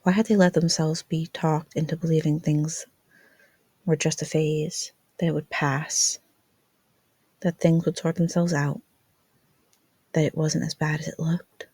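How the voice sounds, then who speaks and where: Woman, low register, husky, creaky, dramatic scripted storytelling, dry recording. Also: little pitch movement, unhurried, pauses often, dark tone, no echo. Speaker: fan fiction reader.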